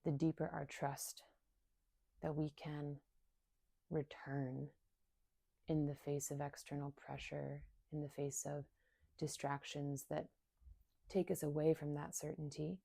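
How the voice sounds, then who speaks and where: Woman doing guided meditation, soft soothing low voice, bit of creak, lots of pauses, close dry sound. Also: little pitch movement, dry recording. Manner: unhurried, soothing, with long pauses between short phrases. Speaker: meditation guide and somatic coach.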